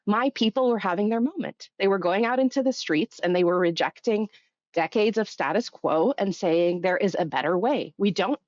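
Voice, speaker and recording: A woman's voice: lowish voice, darkish tone, dry recording, lo-fi sound. A woman in her thirties, from Egypt and raised in the US, giving long, earnest, articulate answers as a guest in a podcast interview. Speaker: guest, think tank executive director.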